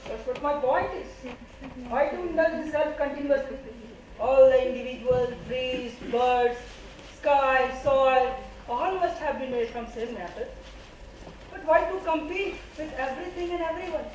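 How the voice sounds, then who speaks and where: Woman acting a high-voiced carefree right brain, echoey. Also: measured pace, darkish tone. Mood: earnest pleading. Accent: Indian. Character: carefree, open-hearted, artistic right brain, playful arguer. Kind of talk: amateur stage play acting. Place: stage in a hall, far microphone. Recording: very echoey distant stage recording, noisy.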